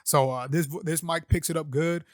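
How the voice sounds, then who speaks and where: A man's voice: a little high, steady tone, moderate pace, no echo, clean studio sound. A young man hosting his own podcast at home, talking loosely and profanely with lots of fillers into a condenser mic. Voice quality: loud voice. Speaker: podcast host. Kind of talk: casual solo podcast talk. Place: home room setup. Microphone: condenser microphone.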